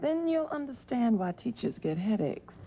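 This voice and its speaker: A woman's voice: a little high, very animated, moderate pace, warm tone, highs cut off, a little hiss or hum. A woman narrating a commercial with a persuasive, scripted delivery. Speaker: commercial voice-over.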